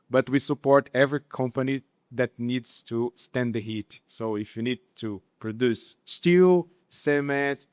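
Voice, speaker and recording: A man's voice: medium pitch, smooth, no echo, studio quality. A man from Brazil, introducing himself on a conference panel and sometimes searching for words. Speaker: global head of payroll, panelist.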